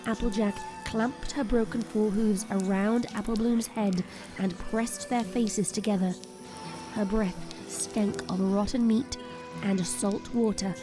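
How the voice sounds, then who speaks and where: A woman narrating a scripted audio drama in a measured, ominous storytelling manner. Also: mid-range voice, fairly even intonation. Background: music.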